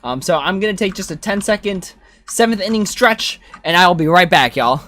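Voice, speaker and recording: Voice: medium pitch, expressive, moderate pace, a bit bright. A game streamer, chatting casually and thinking aloud while playing. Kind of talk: livestream gameplay commentary, casual chat. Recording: game music behind. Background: video game music.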